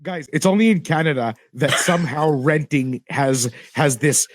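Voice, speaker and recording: A man's voice: fairly high pitch, moderate pace, dry recording. A man from Greece, co-hosting a podcast, speaking animatedly with emphatic rhetorical questions over a video call. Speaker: podcast co-host. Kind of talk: casual chat on a video call.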